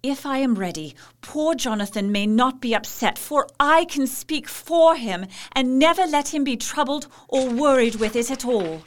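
A woman's voice: high-pitched, lively intonation, moderate pace, hardly any pauses. An actress playing a devoted young Victorian wife, anxious but resolute, reading her journal earnestly and carefully in a radio play. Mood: troubled, resolute.

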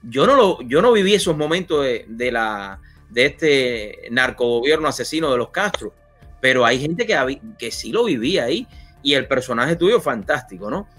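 A man's voice: mid-range voice, big pitch swings, moderate pace, fairly bright tone, faint music behind. A man hosting an interview, talking in a rambling, opinionated way with many fillers. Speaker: interview host. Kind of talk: conversational interview.

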